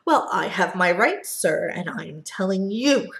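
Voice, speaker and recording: A woman voicing a greedy, stubborn male factory owner who shouts back defiantly. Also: medium pitch, animated, unhurried. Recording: echoey room.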